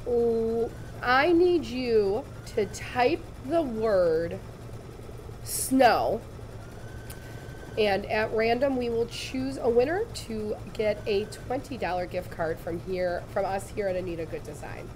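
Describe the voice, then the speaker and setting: A woman, slightly raspy from laryngitis, giving a chatty, upbeat live sewing tutorial in a studio. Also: a little high, takes it slowly, darkish tone, a little hiss or hum, rough recording. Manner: chatty, upbeat, addresses viewers directly. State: recovering from lost voice. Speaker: embroidery demonstrator. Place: studio set with shelves, indoors.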